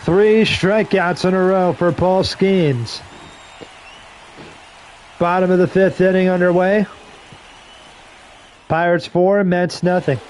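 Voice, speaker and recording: A slightly hoarse man gives casual play-by-play into a headset mic, breaking off into relaxed, rambling asides between calls. His voice sits fairly high. A faint hiss runs under the recording. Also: low-quality recording. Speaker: streamer doing play-by-play.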